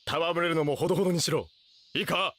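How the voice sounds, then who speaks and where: A man's voice: on the high side, quick pace, a bit bright. A man playing a pompous, theatrical young self-styled mad scientist in an anime radio drama.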